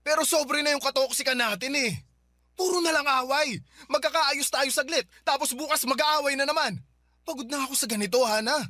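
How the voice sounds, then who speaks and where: A weary, exasperated boyfriend. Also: male voice, very high-pitched, fairly quick, bright, forceful tone, no echo. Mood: arguing, weary. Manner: exasperated, defensive, tired. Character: weary young man in toxic relationship. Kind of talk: radio drama acting.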